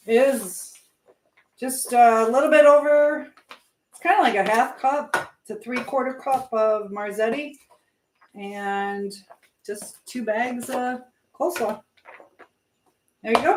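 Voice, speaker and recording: A woman's voice: on the high side, slight background noise. A woman hosting a casual live stream as she cooks in a home kitchen, chatting in a meandering way and greeting viewers. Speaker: livestream host. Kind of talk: casual live-stream chat while cooking, reading out comments.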